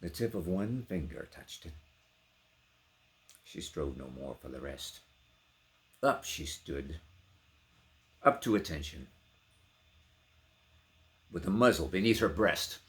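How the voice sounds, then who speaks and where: Slow, intensely dramatic man reciting poetry. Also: sits low, lively intonation. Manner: theatrical, declamatory, sharp dynamic contrasts. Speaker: poetry reader.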